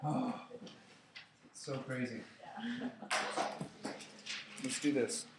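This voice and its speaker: A man's voice: medium pitch, fairly even intonation, drawn-out words, distant mic. A man teaching a chemistry review lesson to his class, playful and joking with students. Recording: echoey classroom with noise.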